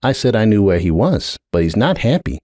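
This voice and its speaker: A man's voice: lowish voice, sing-song, a little fast, warm tone, no echo, clean studio sound. A man voicing a guarded, brooding middle-aged pony mare, a blunt aunt with a terse, world-weary way of talking, in a dramatic story reading. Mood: stern, cold. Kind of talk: dramatic audiobook character reading.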